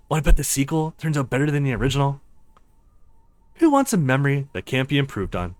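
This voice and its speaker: A man voicing playful, bantering young friends on a ski trip in a visual novel, with an excitable, teasing manner. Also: mid-range voice, fairly quick, dry recording, close to an everyday voice.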